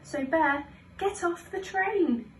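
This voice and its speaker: A woman voicing a worried young boy in a children's storybook, calling out loud, insistent, sing-song warnings. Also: very high voice, animated, slow pace, voice pushed up, heavily acted. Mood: urgent, warning. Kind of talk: children's storybook read-aloud. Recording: roomy, distant mic, faint noise.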